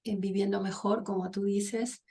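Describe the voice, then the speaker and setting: Middle-aged woman from Argentina, interview guest, gravelly fry, halting and reflective delivery. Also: medium pitch, fairly even intonation, warm tone. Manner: thoughtful, pausing with many fillers and restarts. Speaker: guest psychologist and author. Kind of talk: conversational interview.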